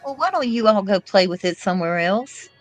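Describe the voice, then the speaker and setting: A woman's voice: medium pitch, expressive, brisk, pitched above the performer's own voice, lightly acted. A woman reading the part of a disillusioned young female outlaw in love, with plaintive, folksy phrasing. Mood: irritated. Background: faint music.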